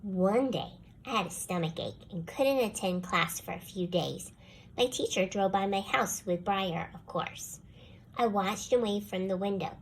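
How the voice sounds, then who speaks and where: A woman voicing a young schoolgirl telling a first-person storybook tale with expressive, put-upon delivery. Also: mid-range voice, unhurried, a little hiss or hum, natural voice, rough recording. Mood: softened, warm. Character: jealous young schoolgirl, grudgingly softening toward the dog. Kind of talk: scripted children's storybook read-aloud.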